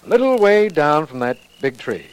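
An actor playing an earnest, level-headed district attorney in a 1940s radio detective drama, reasoning courteously but insistently. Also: male voice, on the high side, big pitch swings, normal speed, clear, resonant tone. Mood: explanatory, cordial. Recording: noisy vintage radio recording.

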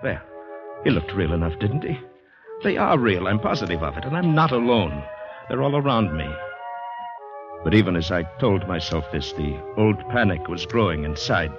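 A man's voice: sits low, big pitch swings, normal speed. An actor playing an anxious ex-soldier in a 1940s radio drama, brooding and hesitant as he talks himself through panic. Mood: mounting dread. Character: anxious ex-soldier fighting down panic, recounting his ordeal. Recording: dull old radio recording with music.

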